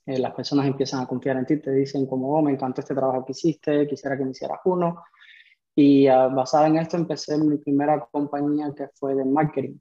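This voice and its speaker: Male podcast guest, thirties, mellow and flat-pitched, conversational storyteller, slightly muffled audio. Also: quick pace. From Cuba. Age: 30s.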